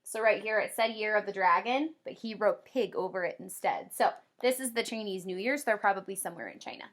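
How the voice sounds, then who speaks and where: A woman kindergarten teacher doing an expressive read-aloud for young children, with drawn-out emphasis and simple explanations. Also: medium pitch. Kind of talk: animated children's read-aloud with comprehension questions.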